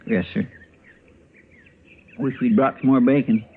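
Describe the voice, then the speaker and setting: A man's voice: mid-range voice, steady tone, unhurried, close to an everyday voice. A man puts on a homespun young cowhand with a country American drawl, deferential and a bit grumbling, in a radio western. The sound is thin and narrow, with faint vintage noise. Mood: chatty, earnest. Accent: rural American drawl. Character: folksy, hungry, grumbling young frontier sidekick, eager and simple. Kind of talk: old-time radio western acting. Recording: tinny, noisy old radio recording.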